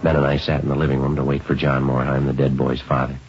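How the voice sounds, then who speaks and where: An actor playing a calm, businesslike police sergeant in an old radio drama, clipped and matter-of-fact. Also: male voice, very deep voice, fast talker, slight background noise. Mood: flat, matter-of-fact. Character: calm, businesslike police sergeant who narrates his cases. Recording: dull old radio recording, music.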